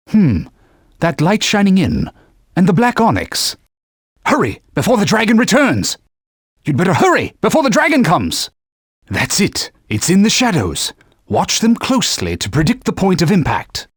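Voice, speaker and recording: An actor playing a stern, proud talking sword who guides a hero with formal, old-fashioned speech in a video game. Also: male voice, high-pitched, dry recording, clean studio sound, heavily acted. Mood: alarmed. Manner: formal, stern, archaic phrasing. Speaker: sword companion giving gameplay hints. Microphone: dry studio booth.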